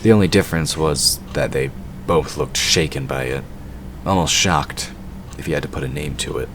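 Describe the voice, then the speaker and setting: A man's voice: very deep voice, moderate pace. A man reading scripted fantasy story narration for an audio drama. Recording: noisy hobby recording.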